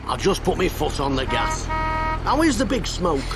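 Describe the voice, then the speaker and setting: A man's voice: sits high, huge pitch swings, a little slow, full character voice. A man playing a chatty, dry-humoured taxi driver in an audio drama. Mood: chatty, reassuring. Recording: noisy car interior with engine ambience.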